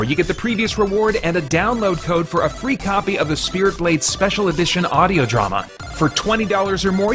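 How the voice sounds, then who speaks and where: High-voiced man hosting over music. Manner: playful, self-deprecating. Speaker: host of a geek-culture review channel. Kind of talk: upbeat scripted review outro and fundraiser pitch.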